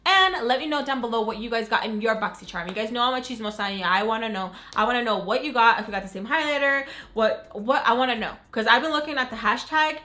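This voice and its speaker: A young woman who is sick and raspy, chatting excitedly in a casual beauty unboxing. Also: fairly high pitch, fast talker, few pauses. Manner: gushing, upbeat, says "freaking" a lot. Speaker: beauty vlogger. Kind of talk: casual unboxing chat to camera.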